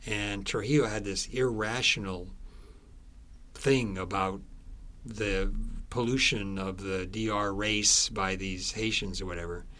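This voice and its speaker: Low-voiced man, halting and explanatory. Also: steady tone, bright, forceful tone. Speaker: author being interviewed about his book. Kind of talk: conversational interview answers.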